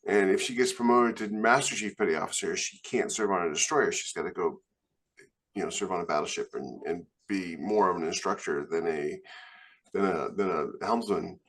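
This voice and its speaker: A man speaking casually as a podcast co-host and author, telling things in a halting, animated way. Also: deep voice. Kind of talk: casual panel chat.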